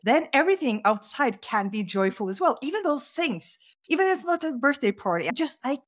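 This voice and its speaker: A middle-aged woman from Norway, a guest in an interview, telling her story in a conversational way and lifting her pitch sharply on key words. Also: very animated, fairly quick. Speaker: guest speaker.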